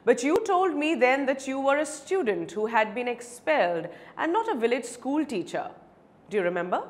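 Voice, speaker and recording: A woman voicing a stern, well-to-do male lawyer in a dramatic story reading, forceful and scolding. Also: sits high, sing-song, normal speed, pitched above the performer's own voice. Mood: suspicious.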